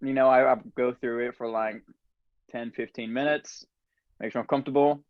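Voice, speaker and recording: A man hosting a casual podcast conversation, telling relaxed stories with frequent laughs. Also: medium pitch, moderate pace, clear, resonant tone, no room sound, phone-quality sound. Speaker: podcast host.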